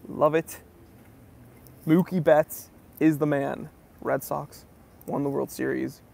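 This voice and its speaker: Gravelly-voiced man hosting a casual Q&A. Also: fairly high pitch, fairly even intonation, slow, darkish tone. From New England, US. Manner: reads viewer comments aloud, answers in a friendly easygoing way. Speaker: host of a watch channel. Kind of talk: casual livestream Q&A.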